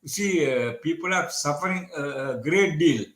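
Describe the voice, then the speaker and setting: A man's voice: fairly high pitch, normal speed, a bit bright. A man from Sri Lanka, giving earnest interview answers with stumbling restarts. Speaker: guest commentator. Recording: echoey room audio.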